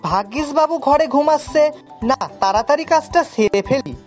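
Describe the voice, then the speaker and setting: A woman's voice: high-pitched, animated, fairly quick, darkish tone, soft background music. A woman playing a naive, eager young male village servant in a cartoon, with dialect and a deferential manner. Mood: scheming, excited. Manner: deferential, rustic dialect turns of phrase. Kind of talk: cartoon voice acting.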